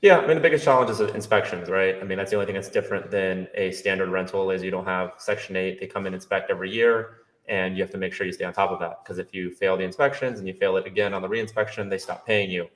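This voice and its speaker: A young man from Brazil, a guest on a podcast, answering in a relaxed, matter-of-fact way. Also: deep voice, fast talker, rough recording. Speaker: guest, real estate investor. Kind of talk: casual interview answers.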